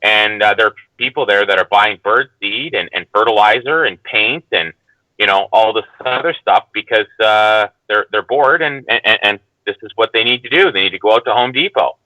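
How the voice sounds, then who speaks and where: Low, bright-voiced man, halting delivery. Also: no room sound, rough recording. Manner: halting, hesitant, qualifies his statements. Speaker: guest commentator. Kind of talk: casual, unscripted discussion.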